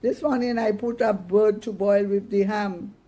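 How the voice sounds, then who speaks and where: A woman's voice: mid-range voice, steady tone, measured pace, warm tone, voice pushed up, lightly acted. A woman voicing cheeky young boys in a storybook, answering back bluntly in Caribbean dialect. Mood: sheepish. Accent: Caribbean, Dominica.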